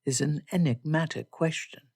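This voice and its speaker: A woman voicing a proud, ardent gentleman suitor in a period romance audiobook, with formal, measured, courtly phrasing. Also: deep voice, husky, no room sound, clean studio sound. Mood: grave, measured.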